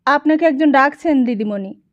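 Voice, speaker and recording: Woman as a deferential servant man, raised pitch. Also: lively intonation, moderate pace, highs cut off. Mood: hesitant, polite. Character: deferential male household servant, hesitant and polite. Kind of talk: audiobook character dialogue.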